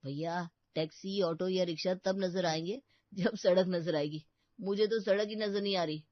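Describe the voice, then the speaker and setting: A man's voice: sits high, steady tone, normal speed, no room sound, natural voice. A man voicing a matter-of-fact younger brother in a Hindi cartoon story, explaining as he talks. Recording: dull, muffled studio voice track.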